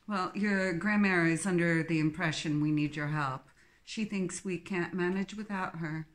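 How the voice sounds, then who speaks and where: Woman doing a posh English snob, haughty, icy, slow and drawn-out, storybook voice. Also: lowish voice, natural voice. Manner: haughty, drawn-out, icily polite. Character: snobbish, cold young English woman.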